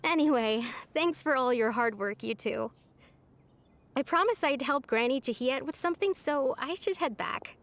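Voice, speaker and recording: High-voiced, earnest village girl. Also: expressive, normal speed. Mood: brave, bittersweet. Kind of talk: video game character acting. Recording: background music over clean game dialogue.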